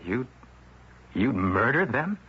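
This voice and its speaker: A man's voice: mid-range voice, sing-song, takes it slowly, slightly rough, heavily acted. An actor playing a blunt, defiant, indignant male reporter in a radio drama. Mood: outraged. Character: indignant, hard-boiled male newspaper reporter. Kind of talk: 1970s radio drama acting. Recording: muffled old radio broadcast.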